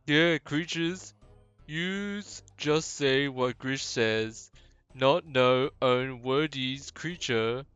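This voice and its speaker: A man's voice: medium pitch, fairly bright tone, clear, resonant tone, no echo, muffled recording, soft background music, pitched above the performer's own voice. A man voicing a simple-minded, earnest ogre in a video game, warning people in childlike broken English. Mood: earnest, instructing. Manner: slow, childlike broken grammar. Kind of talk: hobby game voice acting.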